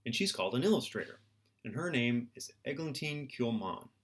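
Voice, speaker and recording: A middle-aged man speaks as an author at a children's storytime. His mid-pitched voice swings widely as he explains things in simple words. He often puts questions to his young listeners. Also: animated, brisk. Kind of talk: children's storytime talk and expressive picture book reading.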